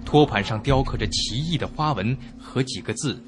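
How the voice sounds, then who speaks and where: A man performs a young male traveller recounting a horror story in a radio drama. His mid-pitched delivery is theatrical and widely varied, over loud background music. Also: expressive, moderate pace, natural voice. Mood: descriptive.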